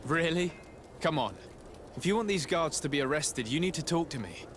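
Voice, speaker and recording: An actor playing a composed, insistent noble legate in a video game, speaking formally and persuasively. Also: male voice, a little high, expressive, moderate pace, a bit bright, slight background noise. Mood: pressing. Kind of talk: game cutscene acting.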